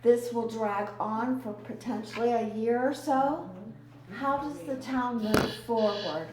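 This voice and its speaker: High slow woman in crowded hall. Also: background noise. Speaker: resident speaking at public comment. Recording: echoey room from far off, crowd behind.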